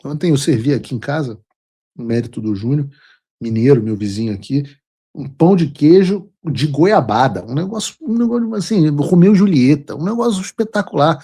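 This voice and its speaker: A Brazilian-accented man hosts his own commentary show, his voice a touch high and husky. He talks bluntly and emphatically, mocking his opponents and swearing casually as he lays out his political views. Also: warm tone. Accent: Brazilian Portuguese. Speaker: show host.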